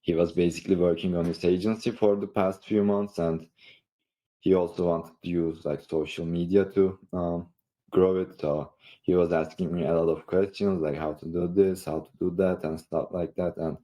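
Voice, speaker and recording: Low-voiced Turkish-accented man, mellow tone. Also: steady tone, normal speed. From Istanbul, Turkey. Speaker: online creator and coach, podcast participant. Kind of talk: casual podcast conversation.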